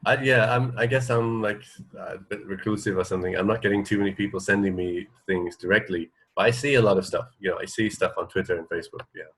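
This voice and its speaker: A man's voice: low voice, quick pace, few pauses, a bit bright, slightly rough, rough recording. A man co-hosting a radio show, chatting casually in a hesitant, rambling way. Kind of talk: casual chat.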